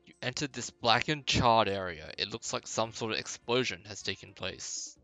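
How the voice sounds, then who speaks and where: A man's voice: fairly low pitch, normal speed, bright, forceful tone, soft background music. A man narrating and voicing a video game playthrough, moving between read dialogue and casual commentary. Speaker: gamer voicing a quest playthrough.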